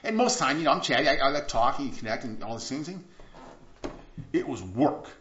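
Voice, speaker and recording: A man preaching a sermon as pastor, chatty and animated, often restarting his sentences. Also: medium pitch, a little fast. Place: church sanctuary. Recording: echoey church room, slightly dull sound.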